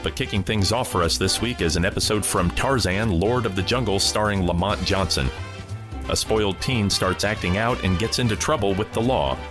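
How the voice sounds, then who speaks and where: A man's voice: fairly low pitch, fairly quick. A man hosting a radio show, delivering a scripted introduction. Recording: music bed under the voice. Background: music.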